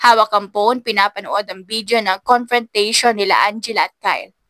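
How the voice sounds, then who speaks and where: A woman's voice: medium pitch, normal speed, a bit bright, no room sound. A woman narrating a scripted audiobook story in an emotive, dramatic manner.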